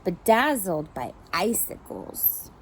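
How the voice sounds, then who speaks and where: A woman's voice: fairly low pitch, big pitch swings, slow pace, background noise, pitched below the performer's own voice. A woman voicing friendly, fussy farm hens from a storybook, giving advice.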